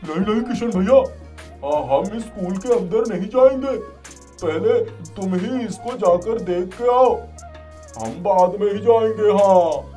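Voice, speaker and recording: A reluctant, hedging cartoon man voiced by a male actor from a village tale. The voice is high and mellow, and he drags his words out slowly and hesitantly. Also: lively intonation, faint music behind. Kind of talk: cartoon moral-story dialogue.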